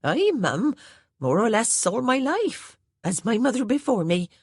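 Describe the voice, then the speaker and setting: A woman voicing an elderly, humble household servant who talks briskly in a country dialect. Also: a little high, very animated, breathy edge, no room sound, clean studio sound, voice pushed up, exaggerated voice. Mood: eager. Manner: brisk, says "aye" and "mum" in a country dialect.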